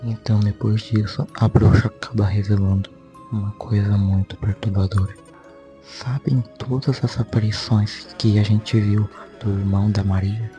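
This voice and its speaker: A man's voice: fairly low pitch, little pitch movement, moderate pace, dark tone, faint music behind. A man with a Brazilian accent informally narrating a story recap as a video host, in a conversational way with repetitive phrasing. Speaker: YouTube host.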